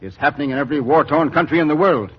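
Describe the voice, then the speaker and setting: A weary but firm relief official in vintage radio drama. His voice is somewhat high and husky, with measured, earnest delivery. The recording sounds muffled. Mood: regretful. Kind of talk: 1940s radio drama acting.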